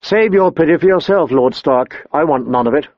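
A man voicing a proud, scheming queen in an audiobook, taunting and scornful, with some lines dropped to a soft hush. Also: fairly high pitch, animated, normal speed, no room sound, highs cut off. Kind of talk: audiobook character voice.

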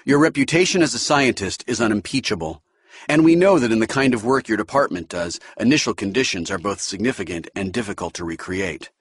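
A man's voice: on the low side, lively intonation, husky, no echo, clean studio sound. A man playing an eager, polite young ensign. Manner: respectful, eager, brisk.

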